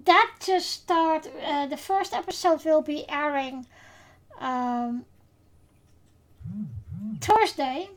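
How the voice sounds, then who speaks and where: Slow, high-voiced Dutch-accented woman host. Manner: unhurried, hesitant with many fillers. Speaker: podcast host. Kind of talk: casual podcast chat.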